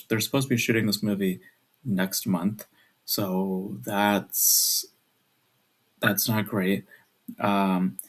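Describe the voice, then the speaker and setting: Young man, low pitch, gravelly fry, hushed delivery, hesitant, rambling, casual vlog chat. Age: 20s. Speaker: video creator. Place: at home at night.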